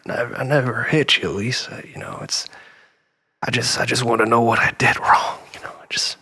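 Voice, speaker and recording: An actor playing a troubled, obsessive man pleading with his lost lover in a halting, rambling dramatic monologue, often dropping near a whisper. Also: lowish voice, vocal fry, sharp tone, slightly rough, heavily acted. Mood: defensive, hurt. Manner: halting, rambling, nervous self-corrections and sighs.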